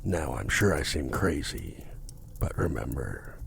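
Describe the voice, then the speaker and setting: Slow talking demon for asmr, super gravelly, swoops around, possessive and commanding, formal and menacing. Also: male voice, very animated, a little hiss or hum, heavily acted. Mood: smooth, insistent. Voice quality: very deep, gravelly, breathy at times. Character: possessive male demon, courtly, menacing and seductive. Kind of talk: ASMR roleplay acting.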